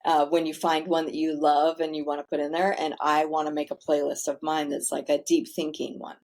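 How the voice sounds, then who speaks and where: A woman with a somewhat low voice, telling her story in a casual interview. She is candid and chatty, and drops the odd swear word without fuss. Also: little pitch movement, fairly quick, darkish tone. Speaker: podcast guest, founder of a self-care center.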